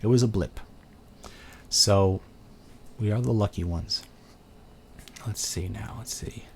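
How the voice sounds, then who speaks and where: A man's voice: fairly low pitch, takes it slowly, a little hiss or hum. A middle-aged man from Brooklyn with a New York accent, chatting casually on a webcam and answering viewer questions. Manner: chatty, rambling, thinks aloud with fillers. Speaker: host of a live video chat.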